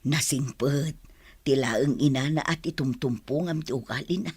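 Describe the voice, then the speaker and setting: An actress playing a gossipy, bossy but kindly household helper woman with a husky voice in an Ilocano radio drama. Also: rumbling low, moderate pace, darkish tone, dry recording. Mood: confiding.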